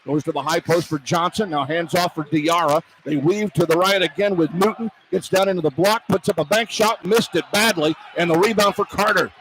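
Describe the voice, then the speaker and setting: A male radio play-by-play announcer with a high-pitched voice calls basketball live. He speaks in clipped, running phrases that climb with the action, over faint arena noise. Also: brisk, hardly any pauses, low-quality recording. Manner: clipped running phrases, voice rising to shouts on big plays. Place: courtside in a college basketball arena. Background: arena ambience, faint noise.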